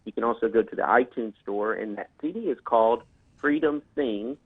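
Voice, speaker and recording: A man's voice: mid-range voice, moderate pace, breathy edge, no echo. A man who is a guest on a talk show, promoting his products in a hesitant, filler-heavy way. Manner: hesitant, many fillers and restarts. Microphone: phone line. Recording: tinny phone line.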